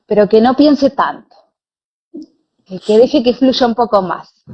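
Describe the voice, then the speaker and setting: A woman's voice: on the high side, a little slow, breathy edge. A young woman with an Argentine Spanish accent, answering conversationally as an interview guest. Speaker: interview guest, pilates teacher and former dancer. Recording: dull, lo-fi online call audio.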